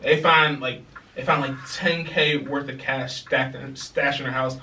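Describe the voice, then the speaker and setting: A young man co-hosting a podcast, telling a story in a casual, animated way, laughing and acting out other people's lines. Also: mid-range voice, unhurried, a little hiss or hum. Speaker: podcast co-host. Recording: echoey room, mic a bit far.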